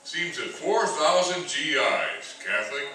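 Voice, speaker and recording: A man playing a calm, reasonable army chaplain in a radio play. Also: a little high, measured pace. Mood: calm, reasoning. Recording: very echoey hall, distant mic.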